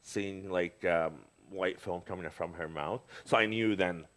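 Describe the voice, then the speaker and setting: A man's voice: low-pitched, measured pace, fairly bright tone. A middle-aged man from Lebanon, talking in a casual conversation as a guest, hesitant, with many fillers and restarts.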